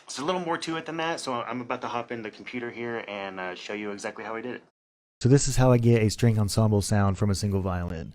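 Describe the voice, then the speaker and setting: A man's voice: fairly low pitch, fast talker, darkish tone. Guy walking thru a music production tutorial, informal and plain spoken. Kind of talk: casual tutorial explanation.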